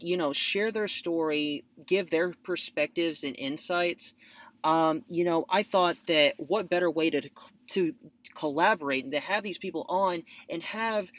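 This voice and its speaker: A man's voice: sits high, a bit bright. A man hosting his own podcast, introducing his guest in a loose, rambling way with lots of fillers. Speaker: podcast host. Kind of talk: casual interview hosting.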